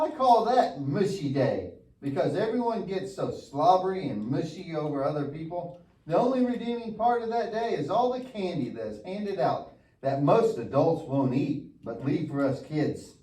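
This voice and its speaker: A man's voice: on the high side, big pitch swings, a little slow, mellow. A man playing a goofy, lazy, mischievous young boy puppet in a church show, with an exaggerated, wisecracking delivery. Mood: excited, clowning. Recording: echoey room, distant mic.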